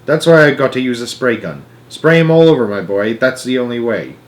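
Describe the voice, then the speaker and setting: A man voicing an excitable, eccentric candy maker from a storybook, breezy and theatrical, with whimsical emphatic phrasing. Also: mid-range voice, fairly quick, close to an everyday voice. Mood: insistent.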